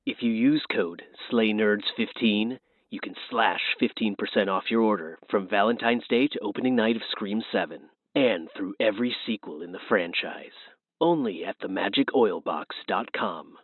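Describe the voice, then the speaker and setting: Man playing a tinny, deep-voiced phone killer. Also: moderate pace, no echo, close to an everyday voice. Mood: menacing, teasing. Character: menacing horror-movie phone killer pitching a product. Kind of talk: scripted ad read as a horror phone-call skit. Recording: tinny, narrow-band phone-call sound.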